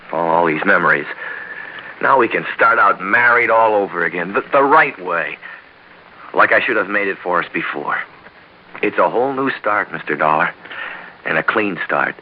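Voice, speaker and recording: An actor playing an earnest, apologetic young American ex-convict, halting and deferential, in an old-style radio drama. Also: male voice, low voice. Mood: earnest, hopeful. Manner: halting, stammering, pleading, deferential. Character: earnest, apologetic young ex-convict husband, eager to prove himself. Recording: dull, hissy old radio recording.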